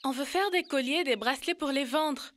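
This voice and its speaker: A woman voicing a sensible, slightly bossy and friendly young girl in a French-dubbed children's cartoon, brisk and teasing. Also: high-pitched, a bit bright. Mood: confident.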